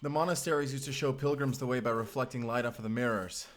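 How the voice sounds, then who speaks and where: A man's voice: mid-range voice, fast talker, close to an everyday voice. A man playing a wary, protective vampire slayer in an audio roleplay, speaking closely to the listener. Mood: weary, watchful. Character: mysterious Spanish male vampire slayer, earnest, protective, wary. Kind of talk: cinematic audio roleplay acting.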